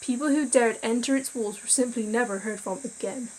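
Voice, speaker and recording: A woman's voice: a little high, a little fast, darkish tone, slightly rough, rough recording. A tired young woman reading a visual novel's story aloud and chatting casually, often tripping over words and laughing at herself. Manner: chatty, self-deprecating, stumbles over words while reading. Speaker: gaming video creator.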